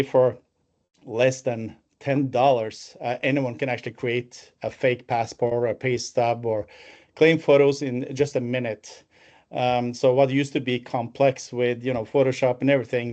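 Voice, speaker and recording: A man's voice: mid-range voice, a little mellow. A man in his fifties from Norway with a Norwegian accent, presenting a business webinar from an office over a video call, with many fillers and restarts. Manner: fluent with frequent fillers and restarts. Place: office, alone. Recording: muffled lo-fi call audio.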